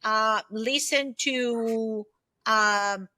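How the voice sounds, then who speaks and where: A woman in her fifties answering interview questions conversationally, thinking aloud in short, halting bursts. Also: on the high side, bright, forceful tone, soft background music. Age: 50s. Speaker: podcast guest, solopreneur and former aerospace executive.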